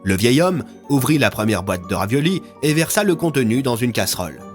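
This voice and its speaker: A man's voice: medium pitch, sing-song, brisk. A man narrating scripted, dramatic audio fiction as a storyteller, with music underneath.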